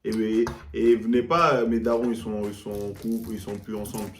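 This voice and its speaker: A man's voice: lowish voice, steady tone, a little fast. A man in his twenties, chatting and joking in slangy banter while hosting a drinking game. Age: 20s. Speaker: youtuber host. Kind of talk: casual drinking game chat. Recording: echoey room with music behind.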